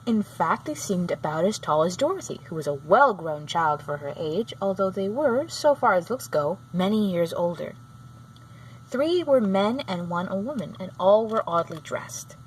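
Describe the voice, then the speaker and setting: A woman reading a children's book aloud, sometimes halting and going back over a phrase. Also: medium pitch, very animated, rough recording. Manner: halting, often rereads phrases. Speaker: storybook reader. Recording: clear background noise.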